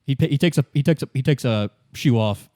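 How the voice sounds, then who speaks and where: A man running a tabletop roleplay as game master, narrating casually and haltingly as he improvises. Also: medium pitch, darkish tone. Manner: conversational, halting, repeats words as improvising.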